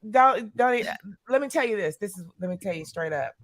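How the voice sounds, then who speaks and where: A woman in her forties, a panelist in a casual group chat, loud, playful and quick to laugh. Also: mid-range voice, expressive, a loud crowd. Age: 40s.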